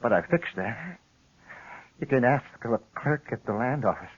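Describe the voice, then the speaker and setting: An actor in a 1950s radio western playing a wounded lawyer, speaking weakly and haltingly in a breathy voice. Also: male voice, mid-range voice, animated. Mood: weak, accusing. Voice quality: husky, breathy. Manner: halting, feeble. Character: wounded, weak frontier lawyer telling his tale. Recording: dull old radio recording.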